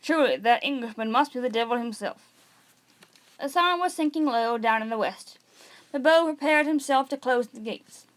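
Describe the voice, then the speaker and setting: Female amateur storybook reader, high register, narrating with occasional stumbles and self-corrections. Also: normal speed. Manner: stumbles over words and corrects herself. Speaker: amateur reader of an audiobook read-along. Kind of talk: read-aloud storybook narration.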